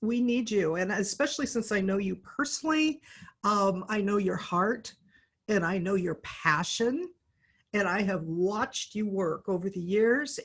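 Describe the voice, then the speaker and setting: A woman hosting an informal political interview in an effusive, supportive manner. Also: medium pitch. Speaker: interviewer and host.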